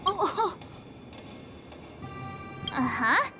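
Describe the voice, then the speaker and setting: Game casting: playful teen girl adventurer, very high and bubbly, animated, music behind. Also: extremely slow, exaggerated voice. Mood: teasing. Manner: bubbly, expressive, scolding playfully. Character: perky, playful, teasing teenage girl adventurer. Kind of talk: anime-style video game voice acting. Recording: loud music under the voice.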